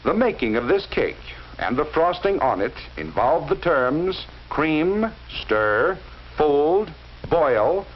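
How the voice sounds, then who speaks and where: A man narrates a 1940s instructional film in a measured, explaining manner, speaking straight to the viewer. His pitch rises and falls a great deal. The sound is muffled and lo-fi, with a faint hiss. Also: very animated. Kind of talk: scripted educational film narration.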